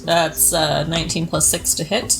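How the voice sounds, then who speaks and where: A woman's voice: sits low, level tone, normal speed, vocal fry. A woman talking casually as a player in a tabletop roleplaying game. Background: music.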